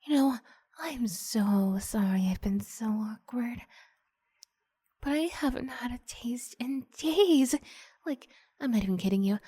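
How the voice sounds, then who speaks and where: Woman playing a breathy, coaxing young vampire girl. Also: a little high, no room sound, studio quality. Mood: playful, menacing. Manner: hushed, halting, often slipping into breathy whisper. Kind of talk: ASMR roleplay, improvised.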